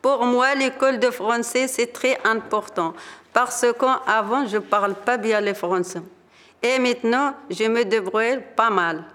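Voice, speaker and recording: A woman's voice: fairly high pitch, measured pace, fairly bright tone, big-room sound. A mother learning French, giving an earnest testimonial in simple sentences. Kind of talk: spoken testimonial.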